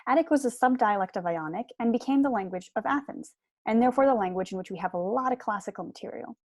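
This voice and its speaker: A woman's voice: fairly high pitch, lively intonation, fast, a little mellow, dry recording. A young woman giving a prepared seminar lecture in an explanatory, articulate manner, recorded at home on a computer mic. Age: young adult. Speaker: lecturer, PhD student. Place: small room at home.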